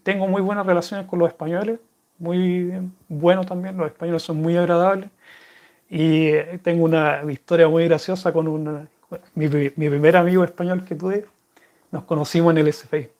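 A man's voice: fairly high pitch, little pitch movement, moderate pace, a little mellow. A man with a Chilean accent, chatting casually to viewers and answering their questions in a rambling, friendly way. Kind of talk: casual chat to camera.

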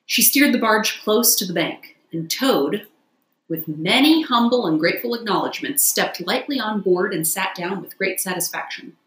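A woman reading a children's classic aloud as expressive storybook narration. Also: medium pitch, normal speed, reverberant, low-quality recording. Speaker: audiobook reader.

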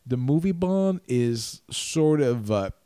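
A man's voice: medium pitch, lively intonation, mellow, clear, resonant tone, dry recording. Man, podcast co-host, casual film chat, stop-start phrasing, mid-range voice, close dry recording. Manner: halting, often restarts sentences mid-thought.

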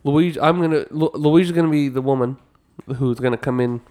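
A man's voice: a little high, a little fast, mellow. A man co-hosting a casual podcast, teasing and playful with the others. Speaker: podcast co-host.

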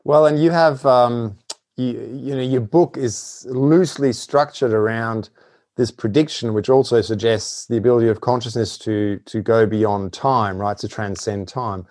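A man's voice: medium pitch, fairly even intonation, a little slow, a little mellow, no echo, studio quality. A man conducting a conversational interview, tentatively rephrasing his question as he leads the guest along.

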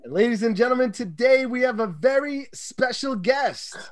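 A man's voice: very high voice, lively intonation, normal speed, dry recording, studio quality. A man hosting a podcast, upbeat and casual, with lots of ums as he sets up his questions. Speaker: podcast host.

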